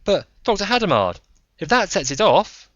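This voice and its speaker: A man voicing an excitable male technician in an audiobook story, emphatic and startled as he reports. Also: sits high, moderate pace, no room sound, highs cut off, pitched above the performer's own voice. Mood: alarmed.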